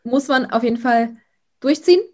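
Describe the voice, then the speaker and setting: A young woman, an influencer, chatting casually in an interview, outgoing and talking in quick bursts with many fillers. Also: fairly high pitch, a little mellow. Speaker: influencer guest.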